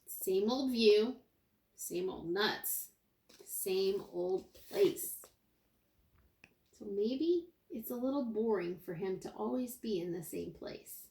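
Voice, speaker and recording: A woman teacher reading a picture book aloud to young children, expressively, pausing for asides and questions to her listeners. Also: mid-range voice, expressive, dark tone. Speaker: kindergarten teacher. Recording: roomy, echoey indoor sound.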